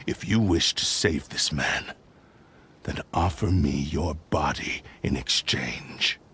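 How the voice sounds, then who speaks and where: A man's voice: very deep voice, sharp tone, heavily acted. An actor voicing a proud, obsessive male cursed blade spirit in a video game, speaking coldly and menacingly with flashes of anger. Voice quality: gravelly. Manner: cold, slow and menacing, with bursts of anger.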